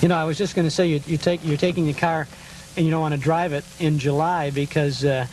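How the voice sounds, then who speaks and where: A man hosting a casual conversational interview, attentive and quick with short acknowledgements. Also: fairly high pitch, fairly even intonation, a little mellow, a little hiss or hum, rough recording. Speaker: interviewer, host.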